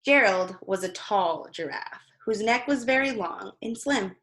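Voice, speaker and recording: Slow, expressive woman reading a storybook. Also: mid-range voice. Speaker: kindergarten teacher reading aloud. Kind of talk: expressive children's storybook narration.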